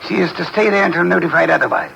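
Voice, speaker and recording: Pompous, imperious private detective, bright gravelly voice, clipped formal diction, vintage radio mystery. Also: male voice, on the high side, sing-song, racing, heavily acted. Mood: imperious, dry. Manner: pompous, curt, formal and precise wording. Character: pompous, sedentary, brilliant middle-aged male private detective. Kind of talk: 1950s radio mystery acting. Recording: vintage 1950s radio broadcast, faint hiss.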